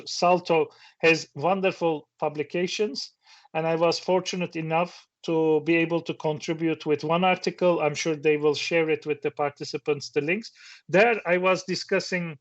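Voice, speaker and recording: A middle-aged man whose first language is Turkish, giving a reflective conference talk that poses questions to the audience, with occasional restarts. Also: on the high side. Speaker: conference speaker. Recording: dull lo-fi sound.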